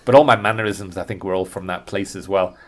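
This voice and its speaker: Male podcast guest, tour guide type, lowish voice, conversational, thinks out loud. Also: quick pace.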